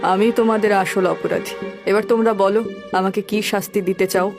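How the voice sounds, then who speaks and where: A woman's voice: mid-range voice, steady tone, normal speed, lightly acted. A woman playing a devoted, dignified landlord's wife in a cartoon, in an earnest, melodramatic manner. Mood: resolute. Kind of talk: Bengali cartoon voice acting. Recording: music behind the voice.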